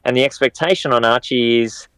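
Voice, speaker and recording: An Australian man in his thirties, a professional footballer answering interview questions in a measured, reflective way. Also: medium pitch, fairly bright tone, clear, resonant tone, no room sound. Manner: measured and reflective, many restarts.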